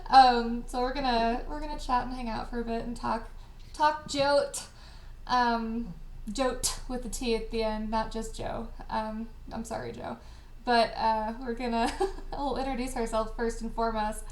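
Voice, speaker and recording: A woman's voice: fairly high pitch, steady tone, measured pace, roomy sound, slight background noise. A woman hosting a casual group chat on a stream, giggly and informal, teasing her guests as she introduces them. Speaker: host of a cast party stream.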